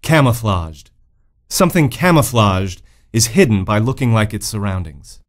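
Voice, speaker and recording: A man's voice: lowish voice, expressive, normal speed. A man reading scripted educational narration as a vocabulary narrator.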